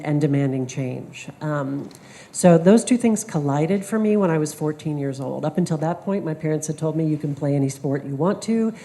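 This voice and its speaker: Low, mellow American woman panelist. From United States. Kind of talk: panel discussion storytelling.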